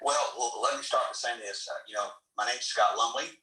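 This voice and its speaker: An earnest man who talks haltingly and circles back on his own words, with a piercingly bright, tense tone. He sits away from the mic in an echoing room. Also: medium pitch. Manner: halting, repeats himself, earnest. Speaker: guest speaker in audio room. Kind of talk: live audio room chat. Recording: roomy, distant mic.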